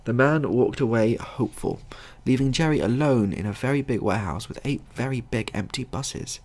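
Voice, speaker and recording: Guy reading a funny story, raspy and croaky, pitch all over the place. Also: normal speed, darkish tone. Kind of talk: scripted comic story narration.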